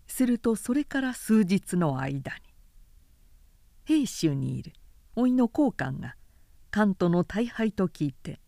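Female literary narrator: measured, articulate, warm dark tone, very dry close sound. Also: mid-range voice, very animated, gravelly, no echo. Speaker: professional narrator. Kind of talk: scripted literary audiobook narration.

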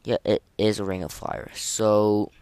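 A man hosting a casual, unscripted gaming podcast, speaking haltingly and often repeating and restarting his phrases. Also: lowish voice, little pitch movement, darkish tone, smooth, no echo. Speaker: podcast host.

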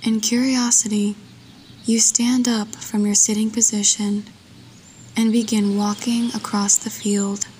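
A woman narrating a guided meditation in a soft, breathy voice, with a soothing, gentle and unhurried manner. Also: mid-range voice, flat delivery, a little hiss or hum. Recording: long washy reverb.

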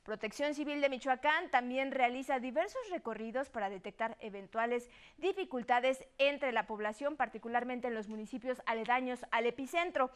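A woman's voice: fairly high pitch, normal speed, few pauses, clean tone. A woman with a Mexican accent presenting broadcast news as an anchor in a formal, courteous manner.

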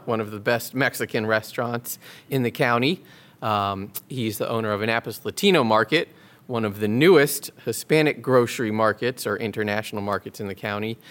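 A man co-hosting a casual podcast, introducing the guest and quick to agree, with plenty of filler sounds. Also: medium pitch, a bit bright. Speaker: podcast co-host.

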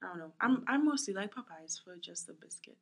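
Casting: young woman from Trinidad, podcast co-host, easygoing chatter, quick to laugh. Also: medium pitch, lively intonation, slightly rough. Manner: laid-back, laughs often, trails off mid-thought. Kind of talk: casual chat.

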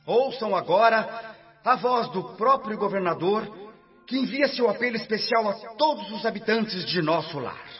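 A woman's voice: medium pitch, lively intonation, moderate pace, natural voice. An actress playing a formal female announcer making a public announcement in a radio drama. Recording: distant, dull radio recording with music.

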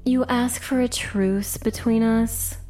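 A woman's voice: on the high side, steady tone, slow, dark tone. A woman voicing a haughty, threatening spirit queen in an audiobook drama, imperious and condescending. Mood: cold bargaining.

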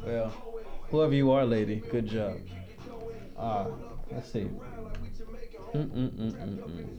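A man's voice: lowish voice, takes it slowly, highs cut off, over music. A young man hosting a casual podcast chat, loose and laughing, often stumbling and restarting his sentences. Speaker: podcast host.

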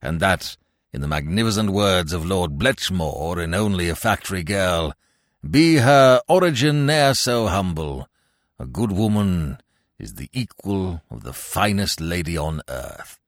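This is a man portraying a sentimental old English gentleman in a comic storybook. The voice is low and pompous, with a solemn, grandiloquent delivery. The recording is dry and close. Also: lively intonation, measured pace, no echo. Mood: rapturous. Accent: British.

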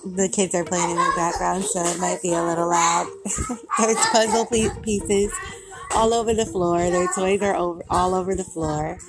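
A young woman with a raspy voice, talking at home in a rambling, giggly, upbeat way about positivity, with music behind her. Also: lowish voice, flat delivery, normal speed. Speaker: vlogger. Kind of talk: casual motivational talk to camera. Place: home with kids playing.

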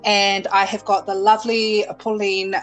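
A woman's voice: medium pitch, little pitch movement, measured pace, soft background music, rough recording. A woman from New Zealand hosting a podcast, upbeat and enthusiastic, full of effusive praise for her guest. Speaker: podcast host. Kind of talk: casual interview chat.

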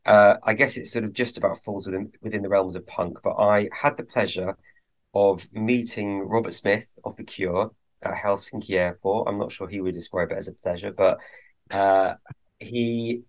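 A man's voice: fairly low pitch, steady tone, normal speed. A man co-hosting a podcast, chatting casually with a hesitant, rambling manner and many fillers. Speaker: podcast co-host.

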